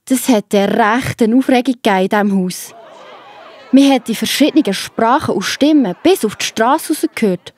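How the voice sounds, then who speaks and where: A woman's voice: fairly high pitch, normal speed, a little mellow, no echo. A woman with a Swiss German accent narrating a children's Bible story in a scripted storytelling style.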